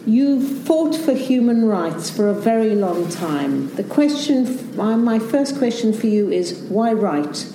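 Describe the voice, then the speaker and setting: A woman moderating a live onstage interview, loud and chatty. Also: fairly high pitch, lively intonation. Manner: loud, chatty, quick to laugh. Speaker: event moderator. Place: event hall before an audience. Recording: echoey hall with background noise.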